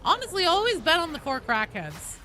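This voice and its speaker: A woman hosting a game livestream, chatting casually with viewers in an animated, sweary, self-deprecating way. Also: very high-pitched, brisk. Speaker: livestream host. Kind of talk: casual game-stream chat, answering viewer comments. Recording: clear background noise behind voice.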